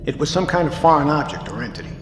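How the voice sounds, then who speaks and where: A man plays a steady, grave naval captain in an audio drama. He addresses his crew formally and plainly, with measured authority, in a slightly high voice. The sound rings like a large echoing hall, with music playing behind him. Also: lightly acted. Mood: grave, composed.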